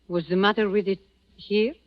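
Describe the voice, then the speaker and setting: Slightly muffled old radio sound carries an actress as a bold woman of the harbor underworld. She speaks blunt broken English, medium in pitch, knowing and streetwise in 1940s radio style. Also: steady tone, normal speed, slight background noise, natural voice. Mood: wry, probing. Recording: dull old radio recording.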